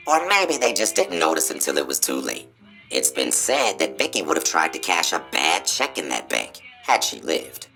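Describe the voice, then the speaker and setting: Deep gravelly cowboy robot. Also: male voice, bright, forceful tone, heavily acted. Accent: American cowboy drawl. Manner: folksy, showy, exclamatory. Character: folksy cowboy robot, boastful casino spokesbot. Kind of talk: theatrical Old West tall-tale patter.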